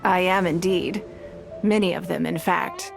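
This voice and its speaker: A woman voicing a composed, wealthy woman leader in a game, measured and authoritative. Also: lowish voice, steady tone, gravelly. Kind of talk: game voice acting. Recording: game dialogue over faint music.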